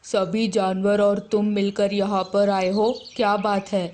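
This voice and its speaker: A woman playing a concerned lion king in a children's story, speaking with measured authority. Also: medium pitch, little pitch movement, darkish tone, slight background noise, lightly acted. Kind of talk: animated children's story character.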